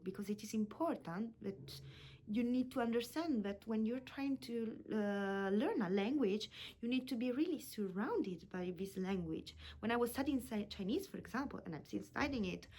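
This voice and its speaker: A woman's voice: fairly high pitch, darkish tone. Woman teacher introducing herself. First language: Italian. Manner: earnest, searching for words with restarts. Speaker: language teacher. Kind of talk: unscripted self-introduction to students. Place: indoor room with books.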